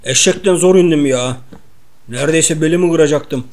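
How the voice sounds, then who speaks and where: A man's voice: on the high side, normal speed, a little mellow, lightly acted. A man playing a clumsy, whining comic everyman with slangy talk in a playful gameplay role-play. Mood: easygoing, slangy. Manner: slangy, complaining, giggly.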